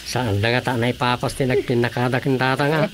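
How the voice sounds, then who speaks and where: A man's voice: mid-range voice, steady tone, brisk, a little hiss or hum, lightly acted. A man with an Ilocano Filipino accent, acting a teasing village councilor in a radio comedy drama. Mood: teasing, casual.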